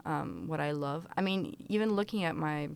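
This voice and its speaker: Low, gravelly-voiced young woman. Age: 20s. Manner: opinionated, quick, laughs often. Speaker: podcast co-host. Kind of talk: casual conversation.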